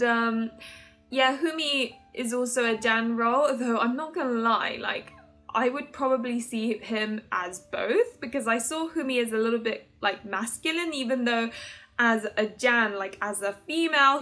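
A woman in her twenties giving a casual, chatty game review to camera in an animated, giggly manner. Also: on the high side. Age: 20s. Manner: animated, giggly, hand-gesturing. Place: small furnished room at home, indoors. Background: music under the voice.

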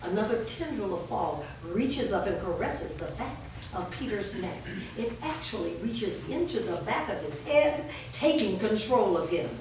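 A woman's voice: medium pitch, lively intonation, normal speed, hardly any pauses, a little mellow. A woman reading scripted stage directions aloud as narrator at a staged reading. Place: large bare indoor room, staged reading before a small audience. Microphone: distant camera. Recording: echoey room from far off, noisy.

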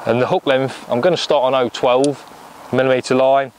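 A man's voice: mid-range voice, little pitch movement, normal speed, slight background noise. A man in his thirties with an English accent, giving a practical step-by-step fishing tutorial from a lakeside bank on a lapel mic. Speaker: angling coach.

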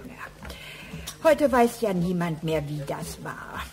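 A discreet secretary lady, kinda low voice, hesitant, confiding, crime radio play. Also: sing-song, takes it slowly, darkish tone. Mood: apologetic. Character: discreet office secretary, confiding, apologetic, gossipy woman. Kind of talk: German radio crime drama acting.

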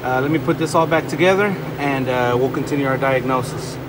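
A man's voice: mid-range voice, fairly quick. A refrigeration technician talking to viewers while he tests wiring in a store's walk-in cooler, thinking aloud as he works, recorded on a nearby phone. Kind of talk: casual hands-on work vlog. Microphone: phone camera held or propped nearby. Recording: loud machinery and fan noise behind.

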